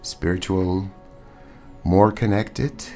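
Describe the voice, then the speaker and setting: A very deep male voice answers questions as a studio guest on a radio show. He is earnest and hesitant, filling his pauses with ums. Soft music hums faintly in the background. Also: steady tone. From born in Armenia, raised in Montreal. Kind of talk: casual interview answers. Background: faint ambient music.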